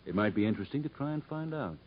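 A man playing a level-headed, principled prison doctor in a 1950s radio drama, in a measured, matter-of-fact way. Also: medium pitch, lively intonation, a little hiss or hum, natural voice. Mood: concerned, firm. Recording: muffled vintage radio broadcast.

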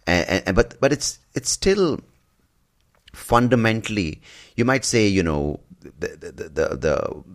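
A man hosting a podcast in casual conversation, talking haltingly with many false starts and repeated words. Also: fairly low pitch, sing-song. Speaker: podcast host.

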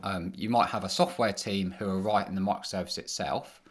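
A man's voice: deep voice, fairly quick. A man, a podcast guest, answering casually and loosely with many fillers. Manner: relaxed, rambling with many fillers. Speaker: podcast guest, engineering manager.

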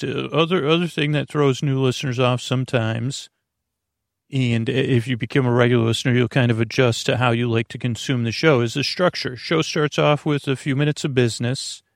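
A man hosting a bedtime sleep podcast with a creaky voice, meandering and halting in a goofy, self-deprecating way. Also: mid-range voice, no room sound, clean studio sound. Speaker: podcast host.

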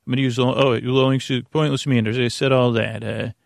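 Creaky voiced guy doing a rambly sleep podcast intro, stammers and trails off topic, really dry close mic. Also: mid-range voice, steady tone, no echo. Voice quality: gravelly, creaky. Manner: rambling, digressive, loses his thread; halting, stammering word repetitions. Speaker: podcast host. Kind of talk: meandering bedtime podcast intro monologue.

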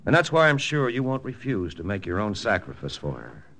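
A brisk American army lieutenant. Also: male voice, on the low side, very animated, fairly bright tone. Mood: persuasive, firm. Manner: brisk, persuasive, matter-of-fact. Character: confident American army intelligence lieutenant, narrating. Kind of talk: 1940s radio drama acting and narration. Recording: old radio broadcast with music.

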